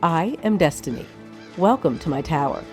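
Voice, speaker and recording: A woman playing the imperious mistress of a wizard's tower in a tabletop game, brisk and drily menacing. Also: low-pitched, animated. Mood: dry command. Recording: faint music under the voice.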